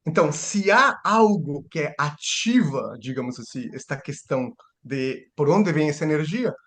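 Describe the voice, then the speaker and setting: A man's voice: on the high side, animated, normal speed, fairly bright tone. A man giving a seminar lecture, thinking aloud with restarts and rhetorical questions.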